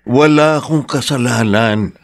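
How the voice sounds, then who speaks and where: A man's voice: medium pitch, unhurried, lightly acted. A man playing an indignant jailed father in a radio drama, with a Filipino accent and an emphatic, defensive manner. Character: indignant jailed father protesting his innocence.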